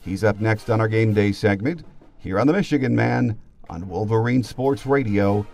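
A man's voice: on the low side, moderate pace, gravelly, a little mellow, soft background music. A middle-aged or older man hosting a sports podcast, delivering scripted, emphatic, opinionated commentary.

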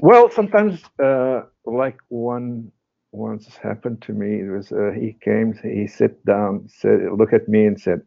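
A low, gravelly-voiced man whose first language is Portuguese talks as a therapist guest. He hesitates constantly, restarting phrases and filling gaps with "uh" and "you know." The audio is dull and lo-fi. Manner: halting, many fillers and restarts. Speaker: psychotherapist guest. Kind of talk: casual interview talk.

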